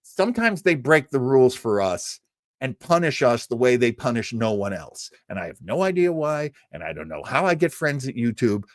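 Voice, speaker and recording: Animated, chatty man hosting a live stream. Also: medium pitch, no echo, studio quality. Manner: chatty, halting, self-deprecating, laughs easily. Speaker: host of a live old-time radio show stream. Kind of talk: casual live chat with viewers, rambling sign-off.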